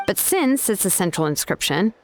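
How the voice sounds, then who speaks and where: A woman's voice: medium pitch, big pitch swings, moderate pace, a little hiss or hum. A woman playing a laid-back but exacting young craftswoman in a video game, explaining things in a casual, matter-of-fact way. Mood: patiently explanatory.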